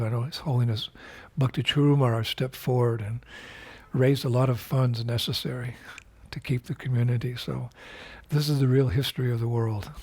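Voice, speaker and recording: An older man speaks in a husky, slightly breathy voice at an ordinary middle pitch. He talks slowly and reflectively, as a spiritual teacher in a recorded video message, often pausing and restarting his sentences. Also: dark tone. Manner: unhurried, reflective, with frequent fillers and restarts.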